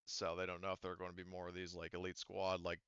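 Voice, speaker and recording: Man, game master, low pitch, smooth tone, halting improvised tabletop narration, slightly dull sound. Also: completely flat delivery, fairly bright tone, dry recording. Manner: halting, thinking aloud.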